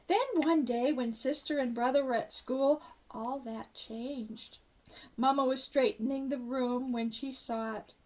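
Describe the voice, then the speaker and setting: Older woman storyteller, high voice, gentle read-aloud into a webcam. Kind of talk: reading a picture book aloud.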